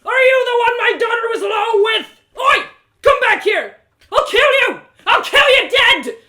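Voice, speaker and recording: A woman's voice: very high voice, lively intonation, measured pace, bright, forceful tone, pitched above the performer's own voice, full character voice. A woman voicing an angry, bitter old male blacksmith with a menacing, threatening manner and rustic speech, as part of a storybook reading. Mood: raging. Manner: bellowing, threatening, rustic dialect. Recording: roomy echoing sound.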